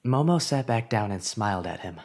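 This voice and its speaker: A man narrating with lively pitch. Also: brisk. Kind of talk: scripted story narration.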